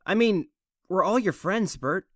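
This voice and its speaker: A man's voice: high voice, lively intonation, a little slow, a bit bright, no echo, clean studio sound, full character voice. Man playing an upbeat, encouraging young guy. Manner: enthusiastic, encouraging. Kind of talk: fan audio drama acting.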